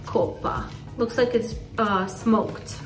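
A woman in her thirties chatting casually through a grocery haul vlog, relaxed with laughing asides, filmed on a phone in her home kitchen. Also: medium pitch, takes it slowly, far from the mic, over music. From California. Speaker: family vlogger. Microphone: phone camera at arm's length. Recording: echoey kitchen, voice a bit off-mic.

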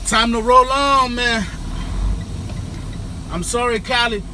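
A man's voice: extremely high pitch, fairly even intonation, slow pace. A young man chats casually to his vlog viewers as a trucker, with slangy, playful swagger. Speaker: trucker vlogger. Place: truck cab and roadside, driving on a highway. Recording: loud vehicle and road noise.

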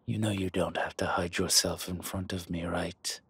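A man playing an arrogant, luxury-loving dragon mob boss in an intimate roleplay audio, speaking in a deliberate, smooth-talking way with a rough voice. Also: low voice, fairly even intonation, fairly bright tone, no room sound, exaggerated voice. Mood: persuasive, intimate. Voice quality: husky, rough. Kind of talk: intimate scripted roleplay audio, one-sided conversation.

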